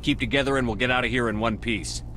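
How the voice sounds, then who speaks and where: A man voicing a steady, commanding military officer in his thirties for a video game, firm and measured. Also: medium pitch, a little hiss or hum, lightly acted. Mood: calm command.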